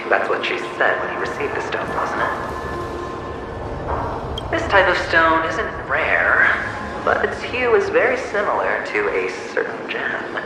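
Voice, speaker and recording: An actor playing the mocking inner self of a cunning gambler in a video game, with a sardonic, theatrical delivery and an echo effect. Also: male voice, mid-range voice, noisy background. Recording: heavily echoing, with music behind.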